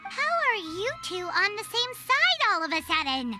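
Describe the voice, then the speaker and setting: A woman voicing a tiny childlike fairy companion in a video game, cheeky and exclamatory, with a squeaky voice. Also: very animated, a little slow, full character voice. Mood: wry. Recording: loud music under the voice.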